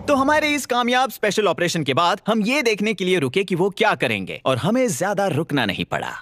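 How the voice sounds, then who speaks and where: A man narrating a scripted video in a playful, animated storytelling manner. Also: high-pitched, brisk.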